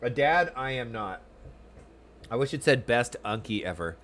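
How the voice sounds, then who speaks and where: A man's voice: mid-range voice, lively intonation, moderate pace, fairly bright tone. A man with an American accent chatting casually on a game livestream, rambling and self-deprecating as he reads and answers viewers' messages. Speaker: game streamer. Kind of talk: casual livestream chat over gameplay. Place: small room at home.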